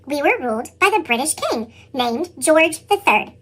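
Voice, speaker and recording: A woman reading a children's picture book as an earnest young boy, with a squeaky pitched-up chipmunk effect. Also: normal speed. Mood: determined. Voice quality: squeaky, sped-up chipmunk sound. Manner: animated children's read-aloud delivery. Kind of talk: scripted picture-book narration.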